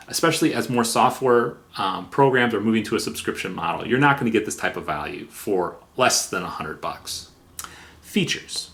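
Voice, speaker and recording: A man's voice: mid-range voice, a bit bright. A man presenting a semi-scripted review, speaking in an emphatic, enthusiastic way with conversational asides. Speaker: software reviewer.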